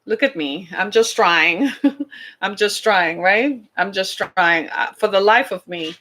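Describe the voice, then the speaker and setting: A woman's voice: mid-range voice, slow, pushed and bright. A woman with a British Caribbean accent talks straight into her podcast in a casual monologue. She leans on her points and tosses out rhetorical questions. Her voice sits in an ordinary middle range. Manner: conversational, emphatic, asks rhetorical questions. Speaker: podcast host.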